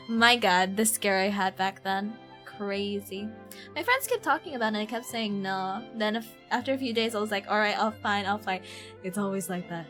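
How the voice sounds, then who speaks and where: A woman's voice: medium pitch, fairly bright tone. A young woman from Ireland chatting casually as she streams a game, informal and slangy, often self-deprecating. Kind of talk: casual live stream chat. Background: game music.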